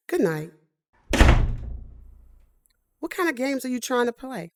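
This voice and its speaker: An indignant, driven woman in a sci-fi audio drama. Her high voice swings widely as she makes clipped, insistent demands, with some creak at the edges. She is easily exasperated. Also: big pitch swings, measured pace, very noisy. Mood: frantic, irritated.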